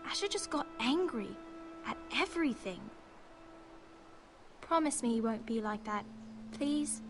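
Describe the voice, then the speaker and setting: A woman playing a caring, protective young noble sister in a medieval fantasy game, speaking in an earnest, gentle, reassuring way. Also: on the high side, natural voice. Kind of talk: dramatic video game voice acting. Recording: music behind the voice.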